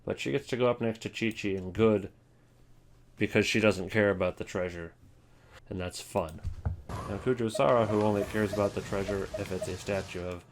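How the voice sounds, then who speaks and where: A man's voice: sits low, a little hiss or hum. A man hosting a casual ranking video with wry, offhand commentary. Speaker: video host.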